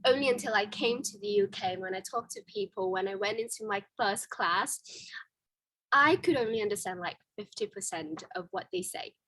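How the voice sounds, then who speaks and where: A young woman with a Vietnamese accent speaks English as a second language and tells her story in a relaxed chat. Her pitch sits in the middle, and she often restarts her sentences with fillers. The sound is dry and close, with no room echo. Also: normal speed. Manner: candid, many fillers and restarts, laughs easily. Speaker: guest. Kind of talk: casual interview chat.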